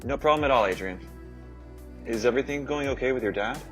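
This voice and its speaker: A man's voice: medium pitch, quick pace, fairly bright tone, faint music behind, close to an everyday voice. A man playing an easygoing, caring young friend in an audio drama. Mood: kind, concerned. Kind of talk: fan audio drama acting.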